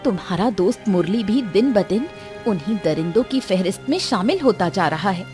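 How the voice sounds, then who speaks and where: A woman plays a village wife and mother in an animated moral tale. The voice is medium in pitch, earnest and gently reproving, with soft music underneath. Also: normal speed, close to an everyday voice. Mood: concerned, disapproving. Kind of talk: animated moral story acting.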